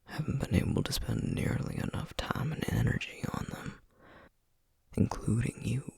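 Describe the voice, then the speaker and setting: A man playing a gentle, burnt-out Southern sorcerer and single dad in an intimate ASMR roleplay, speaking in a husky half-whisper with a Southern US accent. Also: mid-range voice, huge pitch swings, no echo, exaggerated voice. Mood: drained, frustrated. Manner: slow, weary, tender, hesitant. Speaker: audio roleplay voice actor.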